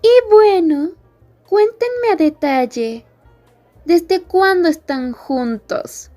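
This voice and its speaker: Woman acting a haughty, high-voiced, drawling bully girl. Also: animated, extremely slow, mellow, faint music behind, heavily acted. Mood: scornful. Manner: taunting, drawling, giggly sarcasm. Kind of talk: Spanish-language ASMR roleplay acting.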